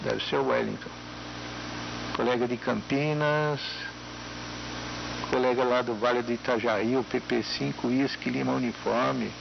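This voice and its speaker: A muffled radio transmission with clear hiss and buzz carries a Brazilian man's gravelly voice. He chats casually as an amateur radio operator, friendly and talkative, often tagging his phrases with little questions. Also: mid-range voice, fairly even intonation, measured pace, long pauses. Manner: friendly, chatty, frequent tag questions. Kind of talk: casual ham radio chat with greetings and call signs.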